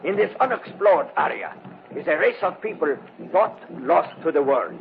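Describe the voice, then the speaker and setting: High, creaky sinister villain priest. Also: male voice, sing-song, normal speed. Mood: ominous. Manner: mock-courteous, menacing, chuckling. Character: sinister, gloating Latin American guide and Aztec high priest. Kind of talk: 1950s radio drama acting. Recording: noisy, muffled old radio recording.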